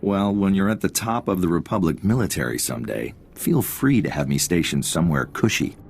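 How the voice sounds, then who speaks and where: Very deep-voiced intelligence officer. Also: male voice, expressive, fairly quick, gravelly, husky, heavily acted. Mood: friendly, teasing. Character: friendly, wry male military intelligence officer. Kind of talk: scripted video game dialogue.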